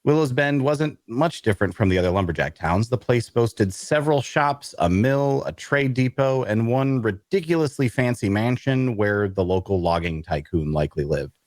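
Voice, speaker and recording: An actor playing an awkward, bookish loner adventurer who narrates an audio drama in a wry way. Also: male voice, mid-range voice, expressive, normal speed, hardly any pauses, darkish tone, no echo, natural voice. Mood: wry narrating. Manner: wry, hesitant in conversation. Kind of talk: first-person narration and dialogue in audio drama.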